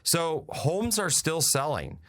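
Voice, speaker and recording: A man's voice: fairly high pitch, big pitch swings, takes it slowly, fairly bright tone. An American man hosting a radio show, explaining industry updates conversationally with emphatic repetition. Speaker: radio show host and real estate agent.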